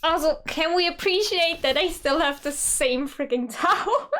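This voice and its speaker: A woman's voice: sits high, normal speed, fairly bright tone, soft background music. A woman in her twenties with a German accent, chatting casually as a streamer reacting to her old video, full of "like" and frequent laughs. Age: 20s. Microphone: USB studio microphone.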